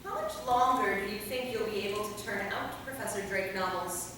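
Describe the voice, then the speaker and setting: A woman playing a warm, chatty centuries-old vampire in a staged play reading, conversational and gently probing. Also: medium pitch, a little fast, close to an everyday voice. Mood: chatty, teasing. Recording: echoey hall, distant mic, faint noise.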